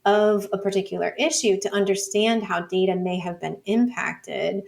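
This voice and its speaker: Woman with a creaky, conversational voice. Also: mid-range voice, steady tone, moderate pace. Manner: frequent "like" and "you know", thinking aloud. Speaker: interview guest, data scholar and teacher. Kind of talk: informal explanatory interview talk.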